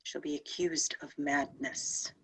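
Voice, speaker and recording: A woman playing a compassionate, questioning young saint from heaven in a play table read, with a wry, expressive delivery. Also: low-pitched, takes it slowly, slightly rough, exaggerated voice, low-quality recording. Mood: tender, uneasy.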